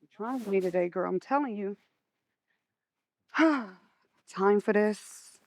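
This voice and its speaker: A woman's voice: medium pitch, slow pace. A woman with an American accent playing a stern, exasperated mother of teenagers in a stage play, emphatic in her delivery. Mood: warning, irritated. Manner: scolding, emphatic.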